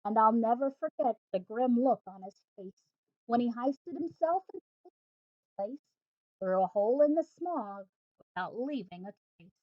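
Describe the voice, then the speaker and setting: A woman reads a rhyming picture book aloud at home, her delivery bouncing along with each rhyme in a fairly high voice. The recording is dull and muffled at the top end and completely dry, with no room echo. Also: moderate pace. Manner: animated, rhythmic rhyming delivery. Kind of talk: expressive read-aloud of a rhyming storybook.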